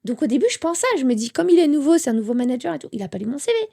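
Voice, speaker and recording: Mellow woman telling her story. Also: high-pitched, rapid-fire, gravelly. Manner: animated storytelling with quoted dialogue. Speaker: interview guest. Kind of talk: candid personal story.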